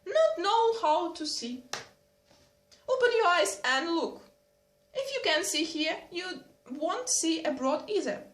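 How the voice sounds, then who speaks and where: A high, exclamatory, bossy older noblewoman, as a woman reader puts her on, scolding her daughters with brisk authority. Heard in a roomy, echoing space from an audiobook. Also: big pitch swings, fairly bright tone, exaggerated voice. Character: fussy, quick-tempered noblewoman, a mother of three daughters. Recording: roomy echo.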